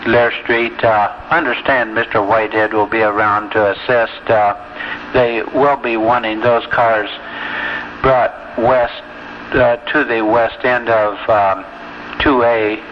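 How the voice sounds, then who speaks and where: A male railroad tower operator heard through a muffled scanner recording with clear static and noise. He gives dispatch instructions over two-way radio at a middle pitch, pausing often as he phrases them. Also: steady tone.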